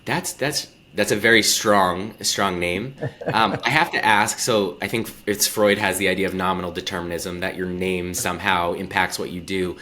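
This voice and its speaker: A man's voice: low voice, fairly even intonation, moderate pace, bright, forceful tone. A man hosting a podcast interview, welcoming his guest and asking thoughtful questions with enthusiasm. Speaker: podcast host.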